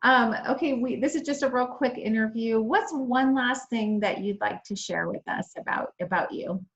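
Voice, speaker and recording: A woman's voice: on the high side, normal speed, vocal fry, dull audio. A woman from Hawaii hosting an interview, chatty and upbeat, readily agreeing with her guest. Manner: upbeat and chatty, affirming answers. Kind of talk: friendly interview.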